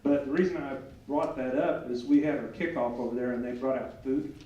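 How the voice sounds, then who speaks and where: A man's voice: medium pitch, fairly quick, warm tone, highs cut off. Chatty man emceeing a meeting. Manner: chatty, friendly plugs. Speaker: event emcee. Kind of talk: meeting announcements. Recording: echoey room, far from mic.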